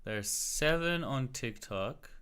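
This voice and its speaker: A man's voice: mid-range voice, animated, takes it slowly, a bit bright, clear, resonant tone. An Australian man with an American-sounding accent, casually talking to himself and greeting viewers while he searches on his computer during a livestream. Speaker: livestream host. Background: keyboard typing and clicking.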